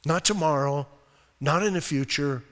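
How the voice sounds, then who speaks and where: A male pastor preaching a conversational, emphatic sermon. He asks rhetorical questions and reads scripture slowly and deliberately. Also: fairly high pitch, fairly bright tone. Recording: reverberant hall sound.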